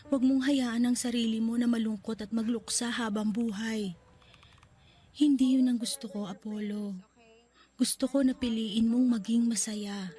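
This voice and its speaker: A woman voices a young woman gravely ill in a hospital bed, tender toward her love and pleading with him. Her voice sits a little high and soft, breaking into tears. Faint music plays under this radio drama. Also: lightly acted.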